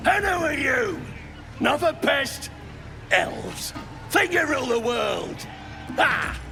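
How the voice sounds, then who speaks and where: A man playing a boastful, belligerent goblin brute in a video game, with a gravelly voice and an English working-class accent. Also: very high voice, big pitch swings, slow, bright, forceful tone, shouting, soft background music, full character voice. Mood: belligerent, scornful. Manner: belligerent, taunting. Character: boastful, bullying goblin brute who loves drink. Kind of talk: video game character acting.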